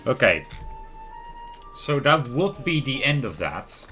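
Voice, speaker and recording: A man livestreaming a video game, talking casually and haltingly and reading game text aloud. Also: medium pitch, sing-song. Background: soft background music.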